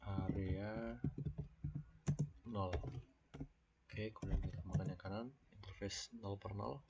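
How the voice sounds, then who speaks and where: A young man with an Indonesian accent and a cold, walking through a screen-recorded tutorial in a somewhat low voice. He talks haltingly, thinking aloud between fillers. The lo-fi mic sounds dull, with faint noise and keyboard clicks behind him. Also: steady tone. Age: young adult. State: sick with a cold. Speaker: student.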